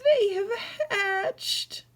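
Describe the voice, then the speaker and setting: A woman's voice: very high voice, extremely slow, voice pushed up, exaggerated voice. A woman voicing a cheerful, helpful little female pig in a children's storybook, in a matter-of-fact singsong. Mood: excited. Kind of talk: children's storybook read-aloud.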